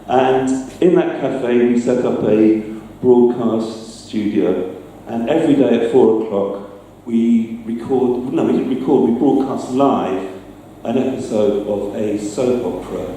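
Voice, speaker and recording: A man's voice: medium pitch, unhurried, few pauses, warm tone. A man giving an informal talk to a live gathering, chatty and hesitant, with a self-deprecating streak. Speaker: presenter, sound effects maker. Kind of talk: informal public talk with demonstration. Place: live talk in a reverberant indoor venue. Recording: echoey room, distant mic, faint noise.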